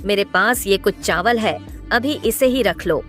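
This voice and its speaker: A woman voicing a young villager who talks in a hurried way, in an animated story. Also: medium pitch, faint music behind, natural voice.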